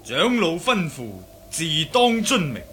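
A man with a Cantonese accent playing a solemn elderly Shaolin monk elder, formal, pious and measured, in a martial arts radio drama. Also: high-pitched, very animated, a bit bright, a little hiss or hum, exaggerated voice. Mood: courteous, solemn.

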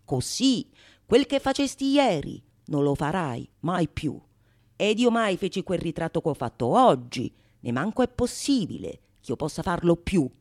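A woman's voice: lowish voice, big pitch swings, normal speed, darkish tone. A woman playing a witty, teasing male painter in a theatrical radio monologue, sly and fond of wordplay. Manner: sly, mock-impressed, theatrical.

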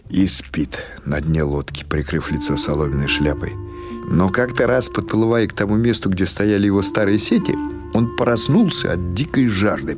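A man reading literary prose as an audiobook narrator, in an expressive, measured storytelling manner. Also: fairly low pitch.

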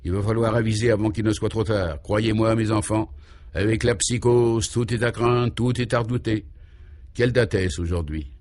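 A troubled male governor from a radio serial, played by a man, speaks in a lowish voice. He is solemn and anxious, halting as he ruminates and searches for his words. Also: steady tone, a little mellow, dry recording, lightly acted. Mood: brooding.

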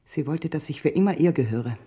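A woman's voice: deep voice, expressive, fairly quick, warm tone, husky, heavily acted. An actress playing a guarded, stubborn refugee woman, terse and self-contained, in a radio drama. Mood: guarded, resigned.

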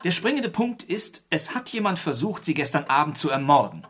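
A gentleman sleuth from a crime radio play. His voice is husky and moves widely in pitch, while he stays measured, polite and inquisitive. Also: very animated, full character voice. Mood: probing, skeptical. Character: composed, probing gentleman detective, married, dryly courteous. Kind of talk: German radio crime drama acting.

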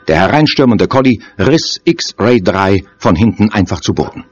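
A man narrating a lurid horror radio play gravely and dramatically from a script. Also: on the low side, very animated, moderate pace. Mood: grim, dramatic. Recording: dull muffled audio over music.

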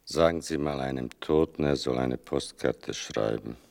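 An actor playing an older narrator in a literary radio play, gravelly and deliberate, with a bitterly ironic, mournful manner. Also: male voice, very deep voice, darkish tone. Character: sardonic, mournful male narrator telling his mother's wartime story.

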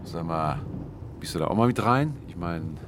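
Animated, blunt working-class mate. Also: male voice, low-pitched, moderate pace, noisy background. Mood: matey, envious. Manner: colloquial, grumbling, matey. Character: blunt, jokey working-class man, a snack-bar regular. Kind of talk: German radio drama acting.

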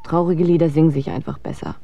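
A dark-voiced, weary, sardonic young woman. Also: sits low, fairly even intonation, quick pace, gravelly. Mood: dry, defiant. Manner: cynical, blunt, dry retorts. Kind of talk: German radio drama acting. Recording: dull lo-fi sound, faint music.

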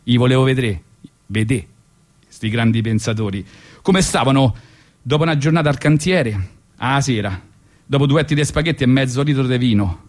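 A man's voice: mid-range voice, normal speed, long pauses. A man in his forties with a Roman accent telling ironic stories on the radio, colloquial and conversational, with a wry, mock-philosophical manner. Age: 40s. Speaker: radio show host and storyteller. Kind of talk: ironic spoken storytelling, conversational.

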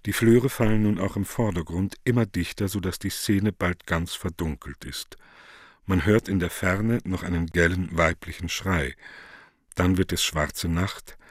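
A man's voice: sits low, unhurried, no echo, clean studio sound. A man narrating stage directions in a scripted radio play reading.

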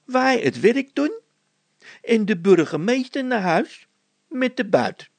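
An excitable, doubtful labourer from a storybook, done by a male reader. He exclaims and grumbles in a raised, creaky voice with a husky edge. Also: high-pitched, slow, dry recording, pitched above the performer's own voice, full character voice. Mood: excited, bossy. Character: excitable, doubtful workman, slow to catch on.

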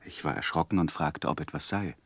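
Earnest, low-voiced young husband. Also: male voice, a little fast, fairly bright tone, husky, no echo, exaggerated voice. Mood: reflective narration. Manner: earnest, hesitant in argument. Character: earnest young husband, anxious, patient, narrating his life. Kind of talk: 1960s German radio drama acting and literary narration.

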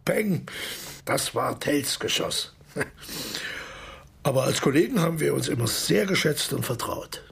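An actor playing an evasive, ingratiating older stage actor in a radio play, speaking with wordy, hesitant theatrical courtesy in a husky, gravelly voice. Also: male voice, fairly high pitch, expressive, measured pace, pauses often, a bit bright, full character voice.

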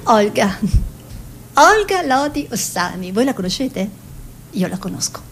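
An actress playing a young peasant woman painter, dreamy and stubborn, in an expressive theatrical delivery. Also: medium pitch, a little slow, faint music behind. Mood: musing. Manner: expressive, musing, emphatic repetitions. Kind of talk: theatrical monologue and dialogue.

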